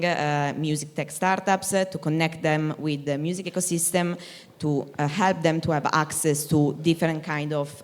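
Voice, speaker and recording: A woman's voice: low voice, darkish tone. A woman speaking on a conference panel, explaining a project at length with frequent fillers. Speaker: panelist, operations manager. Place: conference stage. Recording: hall reverb.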